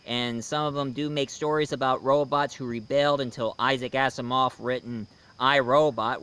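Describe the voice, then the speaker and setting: A man's voice: mid-range voice, a bit bright. A man giving a casual, rambling film review, speaking emphatically and opinionatedly straight to his viewers. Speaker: reviewer, host.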